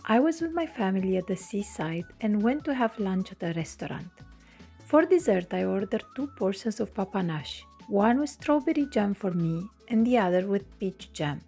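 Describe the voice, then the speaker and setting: A woman from Romania, hosting her cooking channel and narrating a recipe in clear step-by-step instructions from her home kitchen. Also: mid-range voice, lively intonation, moderate pace, warm tone, soft background music.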